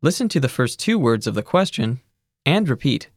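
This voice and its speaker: Measured narrator of a language course. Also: medium pitch, dry recording, clean studio sound. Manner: even, measured teacherly instructions. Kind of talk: scripted instructional narration.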